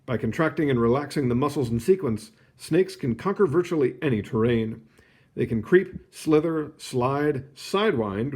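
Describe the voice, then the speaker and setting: A man reading a children's nonfiction book aloud, phrasing it clearly and adding short casual asides. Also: mid-range voice, a little mellow. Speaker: read-aloud host.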